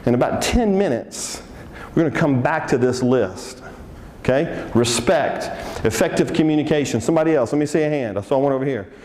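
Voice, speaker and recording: A man's voice: medium pitch, animated, a little fast, a little mellow, big-room sound, slight background noise. A man with a Southern Texan accent giving an interactive business seminar, coaxing the audience to answer and cracking jokes. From Texas. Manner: upbeat, coaxing the audience, joking. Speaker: seminar speaker.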